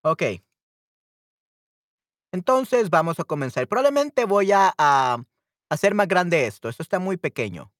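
A man's voice: high voice, sing-song, measured pace, pauses often, fairly bright tone, no room sound, studio quality. A young Salvadoran man with a Salvadoran Spanish accent, teaching Spanish in a friendly, chatty live stream. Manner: chatty and friendly, mixes in English asides to himself. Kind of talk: casual live-stream lesson.

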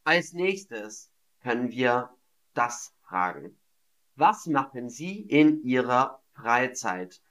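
A man teaching a live online language lesson, enunciating deliberately, repeating model phrases and encouraging his learners. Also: mid-range voice, very animated, fairly bright tone. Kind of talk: live online German lesson, reading chat replies.

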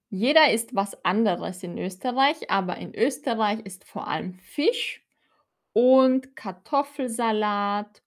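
A woman leads a live-streamed language lesson at a deliberately slow pace. Her voice sits at an ordinary middle pitch, and she speaks clearly, repeating key words for her learners. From Austria. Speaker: German teacher.

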